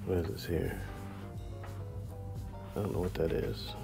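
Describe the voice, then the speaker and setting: A man's voice: low-pitched, fairly even intonation, a little slow. A man in his forties, a travel vlogger, musing aloud with fillers while browsing a large library. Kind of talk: casual vlog commentary. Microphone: handheld or chest-mounted action camera. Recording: clear background music under voice. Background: background music.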